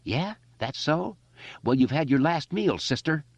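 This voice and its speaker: A man's voice: on the high side, very animated, measured pace, no echo, voice pushed up, exaggerated voice. A man playing a defiant young boy with a stammer. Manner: raised voice, defiant, slight stammer. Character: brave, defiant young boy facing a monster. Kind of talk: audiobook character voice.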